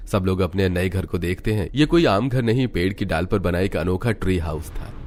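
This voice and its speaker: A man reading scripted Hindi narration for an animated family story as its narrator. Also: sits low, moderate pace, slight background noise. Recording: music behind the narration.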